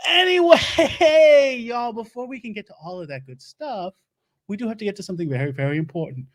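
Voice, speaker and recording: A man's voice: very high-pitched, expressive, fairly quick. A man playing a bumbling self-proclaimed doomsday-prep lecturer who hosts a comedy show, with a put-on Louisiana accent, rambling and stumbling over his words. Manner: rambling, stumbling, laughs at own jokes. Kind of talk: improvised comic monologue. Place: home studio.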